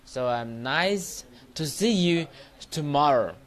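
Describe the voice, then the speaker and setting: Halting man with a Cambodian accent. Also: on the high side, lively intonation. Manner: halting, repetitive, non-native English phrasing. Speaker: Buddhist monk teacher and livestream host. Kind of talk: informal livestreamed motivational talk and lesson.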